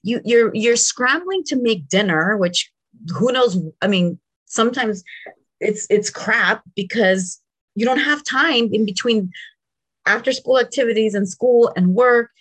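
A woman in her forties, a native Spanish speaker, co-hosting a podcast in a jokey, animated way and laughing often. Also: on the high side, measured pace, husky, rough recording. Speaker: podcast co-host. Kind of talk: casual chat.

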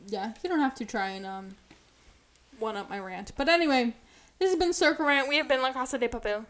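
A woman's voice: high voice, animated, fairly quick, fairly bright tone. Rambling, self-mocking woman podcaster. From New Jersey. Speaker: podcast co-host. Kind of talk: casual podcast chat.

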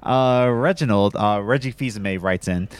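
A man's voice: fairly low pitch, moderate pace, smooth, no echo. A man hosting a casual podcast chat, losing his voice from a cold, rambling with lots of fillers and restarts. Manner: rambling, many fillers and restarts, laughs often. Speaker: podcast host.

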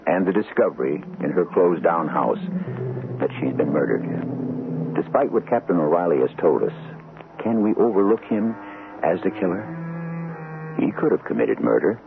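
An actor playing a solemn, philosophical radio mystery host, narrating with gravelly, deliberate, ominous delivery. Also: male voice, sits low, sing-song, noisy background, exaggerated voice. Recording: tinny old radio broadcast. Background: music.

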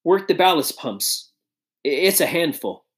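A man reads a shy young inventor with a modest, earnest way of speaking. The pitch moves in lively swings. It sounds like a storybook. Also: measured pace.

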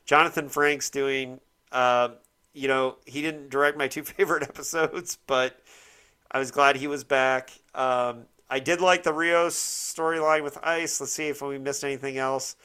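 Bright, clear-voiced man chatting casually. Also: medium pitch, fairly even intonation, unhurried. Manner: rambling, self-correcting, searching for words. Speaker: podcast host. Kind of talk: casual chat, TV show discussion.

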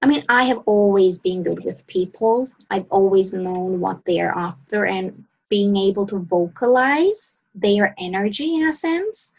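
A woman from Sweden, a coach and podcast guest, answering questions in a relaxed way, pausing and restarting as she searches for words. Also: medium pitch, husky, muffled recording. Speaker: mindset and success coach, guest. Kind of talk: casual interview answers.

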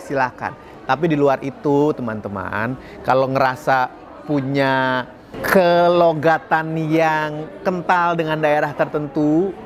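A man giving a chatty, upbeat talk to camera as a public speaking coach, laughing now and then at his own slips. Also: fairly high pitch. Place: outdoors in a city.

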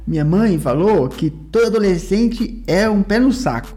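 A man voicing a jittery, whiny schoolboy who stumbles over his words. His mellow, darker tone swings widely in pitch, and faint music sits behind it. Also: animated, normal speed. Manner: stammering, whiny kid voice. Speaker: player. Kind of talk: in-character roleplay as a schoolboy. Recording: music under the voice.

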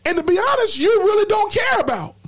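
A woman's voice: extremely high pitch, sing-song, quick pace. A woman hosting a podcast in casual, colloquial talk, emphatic and preachy, repeating words when fired up. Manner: colloquial slang. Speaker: podcast host.

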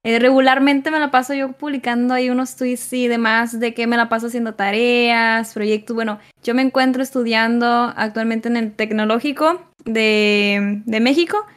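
A woman's voice: fairly high pitch, steady tone, normal speed, hardly any pauses. A woman, answering interview questions hesitantly with many fillers. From Mexico. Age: 20s. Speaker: content creator guest.

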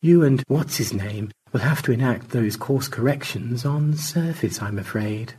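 A man voicing a sweet female AI in a storybook, polite, precise and gently flirtatious. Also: medium pitch, unhurried, background noise, lightly acted. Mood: businesslike. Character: sweet, charming female AI on a screen, coolly technical.

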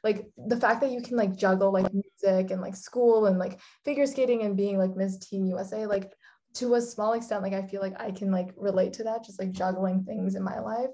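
A young woman from Singapore co-hosting a casual interview. She talks upbeat and peppers her sentences with "like", at a middle pitch. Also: steady tone, a little fast, mellow. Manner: heavy use of "like", upbeat. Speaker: co-host.

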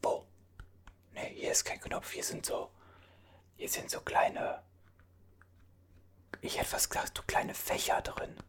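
A performer whispering as a bewildered time traveler from an older era, puzzling over strange objects in a halting voice full of restarts. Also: close to an everyday voice. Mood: puzzled. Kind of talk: whispered ASMR roleplay.

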